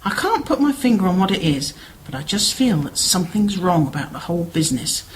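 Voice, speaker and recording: A woman plays a chatty, concerned village woman in an amateur radio drama. Her voice sits slightly low and swings widely in pitch as she gossips and persuades. A clear layer of background noise runs underneath. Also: sing-song, brisk, rough recording. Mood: pleading. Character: Martha, chatty, concerned village woman, sister seeking help. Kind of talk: amateur radio play acting.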